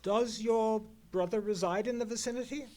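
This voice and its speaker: A man playing a shrewd gentleman detective in a live radio play, speaking formally and precisely as he questions. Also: sits high, moderate pace, slight background noise, natural voice. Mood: keen, probing. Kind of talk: live old-time radio play acting.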